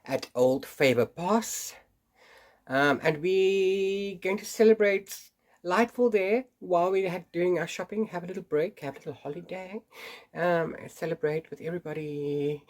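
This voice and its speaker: A man who hosts a book channel, chatting casually to camera in a rambling way, full of restarts and little laughs, while congested and sniffly from hay fever. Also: fairly high pitch. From South Africa. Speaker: book vlogger.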